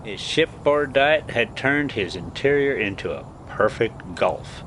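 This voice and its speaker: Guy reading a storybook slow, pitch moves around a lot, kinda halting, bit of background rumble. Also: lively intonation, a bit bright. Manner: halting, stumbling over words. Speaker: host reading stories aloud for a pet video channel. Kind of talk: amateur storybook reading with casual asides.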